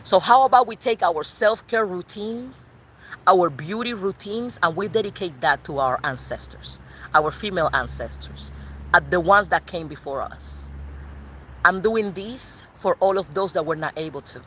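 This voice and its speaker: A woman with a bright, forward voice gives a relaxed spiritual tarot reading. She speaks in a loose, colloquial way, addressing the listener directly, with a faint hiss of noise underneath. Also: on the low side, moderate pace, poor audio. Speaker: tarot reader. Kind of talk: spiritual collective reading, casual talk.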